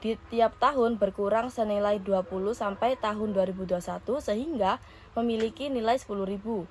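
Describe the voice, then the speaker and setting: A young woman gives an explanatory presentation, partly reading from notes in a measured, item-by-item way. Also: mid-range voice, darkish tone. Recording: clear background room noise.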